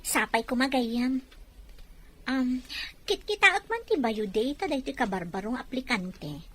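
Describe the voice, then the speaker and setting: Woman acting brusque, high, lively Ilocano innkeeper. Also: a little slow, exaggerated voice. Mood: casual. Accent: Filipino, Ilocano. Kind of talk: amateur radio drama acting. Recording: clear background noise.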